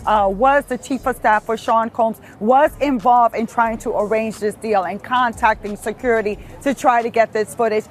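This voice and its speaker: A woman speaks as a legal analyst on the news. Her voice is fairly high, and she explains in unscripted commentary. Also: slight background noise.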